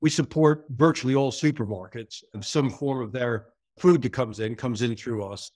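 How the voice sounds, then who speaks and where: A man with a New York area American accent, answering interview questions in a fluent, explanatory, businesslike way. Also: medium pitch. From New York area, USA. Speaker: port executive.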